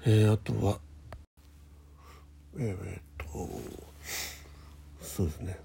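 A man hosting a casual solo morning radio show, chatting in a halting way, thinking aloud and murmuring to himself, with a husky, gravelly voice. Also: fairly low pitch, steady tone, warm tone, slight background noise. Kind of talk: casual solo chat with health log and listener letters.

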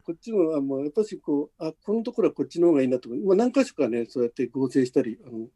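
A man's voice: on the high side, big pitch swings, racing, mellow, no room sound. A man hosting a casual behind-the-scenes chat, speaking in a conversational, encouraging way. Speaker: podcast host, radio drama producer.

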